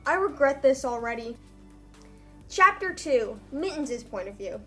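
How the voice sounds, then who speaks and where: A woman's voice: high voice, sing-song, a little slow, pushed and bright, soft background music. A young woman chatting casually in a comedic vlog, with wry, self-mocking asides. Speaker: vlogger. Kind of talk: casual comedic vlog chat, reading own old writing.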